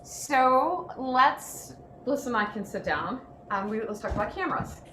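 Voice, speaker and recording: A woman's voice: fairly high pitch, animated, measured pace. A woman hosting a casual workshop, presenting in an informal, chatty and emphatic way from an indoor room. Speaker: host and photographer. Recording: noticeable background noise.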